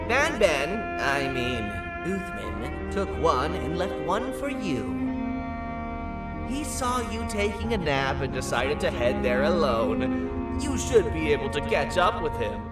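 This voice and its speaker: An actor voicing a goofy, joke-loving jester creature in a video game, with theatrical, drawn-out punchlines. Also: mid-range voice, very animated. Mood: chatty. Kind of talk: video game character dialogue. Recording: roomy echo, distant, under loud music.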